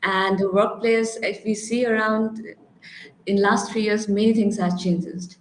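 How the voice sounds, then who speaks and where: A woman's voice: medium pitch, fairly even intonation, unhurried. A woman from Nepal with a Nepali accent, speaking off the cuff as a panelist, often rephrasing and checking with a questioning "right". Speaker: panelist, HR professional and career counselor. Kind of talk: unscripted panel talk. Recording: echoey room sound.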